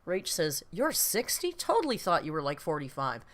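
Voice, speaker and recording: A woman's voice: deep voice, huge pitch swings, moderate pace. A woman in her late fifties hosting a casual live stream, chatting with viewers in a rambling, self-deprecating, blunt way with mild swearing. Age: late 50s. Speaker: host of a reaction live stream.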